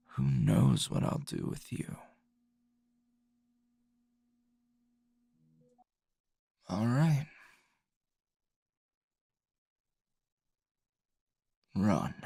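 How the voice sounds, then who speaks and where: A man performs a gruff, teasing male anime hero for an ASMR roleplay. The voice is gravelly and dry, recorded close without room sound. Delivery swings widely in pitch, halting and flirtatious. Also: a little mellow, no echo, heavily acted. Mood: playful, teasing. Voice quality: gravelly, husky. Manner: slow, teasing, halting with sighs and chuckles. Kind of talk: ASMR roleplay, intimate scripted acting.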